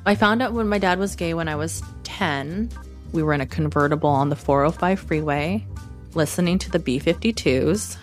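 A woman's voice: deep voice, normal speed, faint music behind. A woman telling a personal story in a comic storytelling style.

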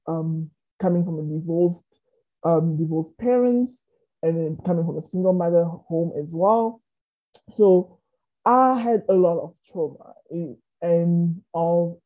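A lower-pitched woman from South Africa, a business coach, answers earnestly and openly. Her voice is husky and comes through a tinny, phone-like line. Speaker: business and marketing coach, guest. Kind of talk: conversational interview.

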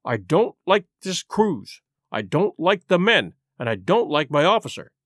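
A man's voice: fairly high pitch, big pitch swings, a little slow, a bit bright, dry recording, studio quality, heavily acted. A man voicing a stern, blunt sea captain who speaks plain and clipped, in a storybook reading.

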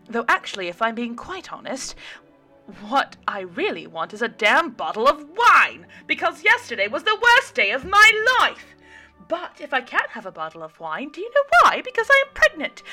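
Pregnant woman, sharp-tongued and stubborn, ranting and insistent; read by a woman. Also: medium pitch. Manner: ranting, insistent, rising to shouts.

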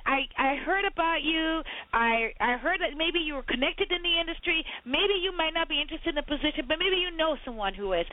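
A woman's voice: very high-pitched, no echo. A woman from Barbados, a recruiter co-hosting a podcast, arguing emphatically and animatedly over a phone call. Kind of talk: heated podcast debate. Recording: tinny phone line.

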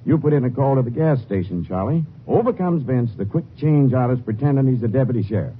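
An actor playing a male lawman in a 1950s radio drama, brisk and accusing. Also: medium pitch, expressive, a little hiss or hum, natural voice. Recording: tinny old radio broadcast.

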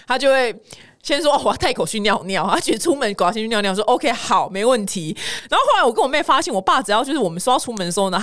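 Young taiwanese mandarin gal hosting a podcast, talks fast, super expressive, casual storytelling. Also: female voice, a little high. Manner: animated, exclaiming, acts out dialogue. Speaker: podcast host of a weekly news show.

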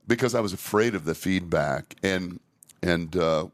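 Low-voiced middle-aged man, candid and rambling. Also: moderate pace. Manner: candid, rambling, frequent restarts. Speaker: safety trainer and podcast host. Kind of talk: casual podcast conversation.

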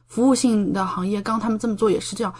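A woman's voice: medium pitch, fairly even intonation, quick pace, darkish tone. A woman from China talking casually as an interview guest, explaining things at length with plenty of examples. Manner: explanatory, talkative, gives examples. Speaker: podcast guest, doctoral student in medicine living in Japan.

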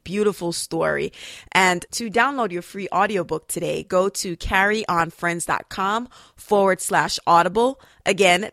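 A lively woman podcast host with a slightly low voice, recorded dry and close with almost no room sound. She talks with bubbly enthusiasm and sprinkles "you know" through her sentences. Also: no room sound. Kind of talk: upbeat podcast hosting and interviewing.

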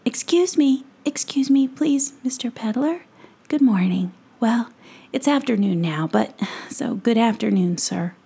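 A woman's voice: a little high, animated, unhurried, warm tone, exaggerated voice. A woman doing a shy stammering boy. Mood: nervous. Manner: hesitant, stammering, breathy. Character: shy, flustered young boy.

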